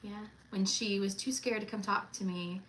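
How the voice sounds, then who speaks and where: A bright, clear-voiced woman in her thirties chats and teases on a casual cruise livestream, laughing often. A faint hum of room ambience sits behind her voice. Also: mid-range voice, steady tone, normal speed, poor audio. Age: 30s. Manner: chatty, teasing, laughs often.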